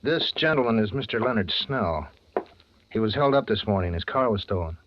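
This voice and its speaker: An actor as lively American working man. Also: fairly low pitch, fairly quick, noisy background, natural voice. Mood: inquiring. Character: everyday working men: bartender, desk policeman. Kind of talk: 1940s radio drama acting. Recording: muffled vintage radio, background crowd.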